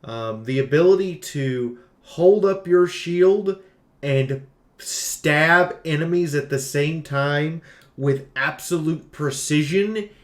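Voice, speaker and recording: A man's voice: fairly high pitch, takes it slowly, roomy sound. A man giving a casual game review in an emphatic, exclamatory, jokey way, as a streamer talking through notes and ad-libs. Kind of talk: casual scripted game review with ad-libbed commentary.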